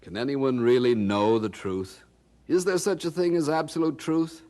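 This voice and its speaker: An actor playing a genial, skeptical colonial printer in an audio drama, speaking in a wry, amused, conversational way. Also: male voice, medium pitch, steady tone, moderate pace, mellow, close to an everyday voice. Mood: probing.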